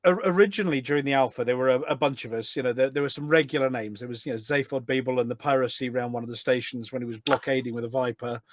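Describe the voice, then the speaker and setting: A man's voice: mid-range voice, rapid-fire, few pauses, dry recording. A middle-aged man chatting casually as a podcast guest, enthusiastic and full of anecdotes. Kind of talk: casual chat. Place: indoors at a desk at home.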